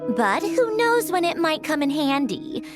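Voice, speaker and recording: A sharp-minded young female researcher in a video game. Her voice is on the high side and swings expressively, brisk in manner. Music plays clearly behind her. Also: very animated. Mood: brisk, matter-of-fact.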